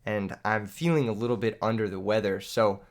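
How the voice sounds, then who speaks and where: A man's voice: fairly low pitch, a little fast. A young man who has lost his voice to illness, joking and laughing as he commentates a card game he is playing for his channel. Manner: joking, self-mocking, laughs often. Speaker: gaming YouTuber. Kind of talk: live gameplay commentary.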